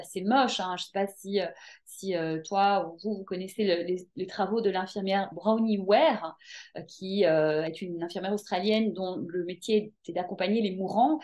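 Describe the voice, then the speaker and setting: Woman, guest coach; lively, emphatic, casual with hesitant fillers. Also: mid-range voice. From Belgium. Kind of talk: casual interview chat.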